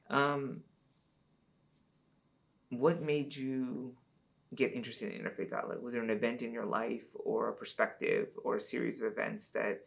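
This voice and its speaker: A man's voice: mid-range voice, gravelly. A man hosting a podcast interview, asking questions in a hesitant way with many fillers.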